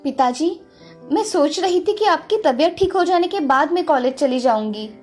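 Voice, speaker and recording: A woman playing a dutiful, respectful college-age daughter in an animated moral story, with an earnest, pleading manner. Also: high voice, normal speed, soft background music. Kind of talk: children's animated story acting.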